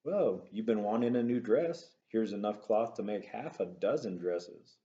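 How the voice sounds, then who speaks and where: A man reading the part of a booming, good-humored farm father. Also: expressive, unhurried, warm tone, muffled recording. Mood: fatherly.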